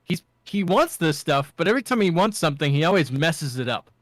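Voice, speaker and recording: A man's voice: on the high side, big pitch swings, brisk, a bit bright, no room sound, studio quality. A man co-hosting a casual pop culture discussion, agreeable and explaining his points with examples. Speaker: podcast co-host.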